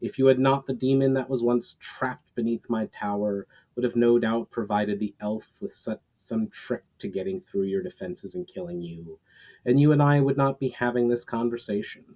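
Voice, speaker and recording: A man voicing an ancient, forgiving guardian and mentor in a fantasy story, speaking in a solemn, formal, deliberate way. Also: mid-range voice, dark tone, close to an everyday voice, rough recording.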